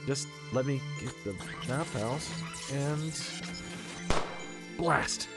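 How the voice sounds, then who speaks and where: A man's voice: medium pitch, extremely slow, gravelly, loud music behind the voice. A man playing an earnest, plain-spoken, easily frustrated young hero in a space audio drama.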